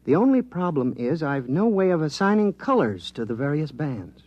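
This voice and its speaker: An actor playing an earnest, absorbed government electronics researcher in a 1950s radio drama, explaining things precisely and a little hesitantly. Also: male voice, on the high side, very animated, normal speed, a little mellow, lo-fi sound. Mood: thoughtful explaining. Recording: vintage 1950s radio recording.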